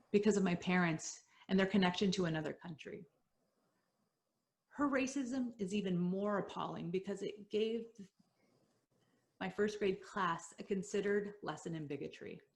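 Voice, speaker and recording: A woman in her forties, a native Spanish speaker, telling a personal story at a showcase in a measured, earnest and reflective way. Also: medium pitch. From Southern Oregon, USA.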